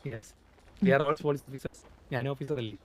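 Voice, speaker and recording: A man's voice: medium pitch, takes it slowly. A man with a Malayali accent playing an exasperated senior police officer instructing a cadet in improvised game roleplay, mixing in English phrases. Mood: explaining.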